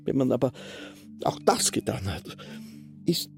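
An actor playing a weary, long-winded castle official in a radio play, husky and breathy, halting and ruminative but insistent. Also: male voice, medium pitch, very animated, faint music behind. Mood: fervent insistence. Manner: halting, ruminative, builds long elaborate sentences. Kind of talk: German literary radio play acting.

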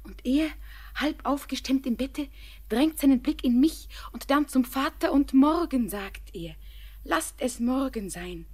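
A woman's voice: sits high, animated, measured pace, a little mellow. An actress playing a tender, anxious young bride who pours her words out in a half-whisper. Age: young adult. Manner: breathless, half-whispered outpouring. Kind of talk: classic radio play acting.

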